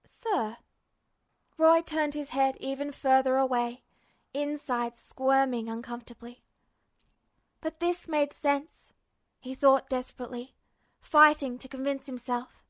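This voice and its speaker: Woman narrator, high voice, dry close recording, emotive measured audiobook reading. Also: fairly even intonation, dry recording. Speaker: podfic reader. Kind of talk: audiobook narration of fan fiction.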